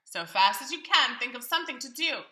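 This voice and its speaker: Woman voicing an anxious, high-pitched storybook fish. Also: lively intonation, fairly quick, bright, forceful tone, echoey room, full character voice. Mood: frightened, urgent. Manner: urgent, alarmed, exclamatory. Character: anxious scolding pet fish in a children's storybook.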